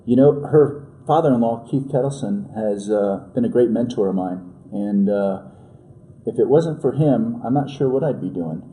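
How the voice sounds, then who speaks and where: A man in his forties from New York, a podcast guest who talks in a halting, casual way full of fillers and restarts. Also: medium pitch, dark tone, slight background noise, low-quality recording. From New York, USA. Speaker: guest entrepreneur.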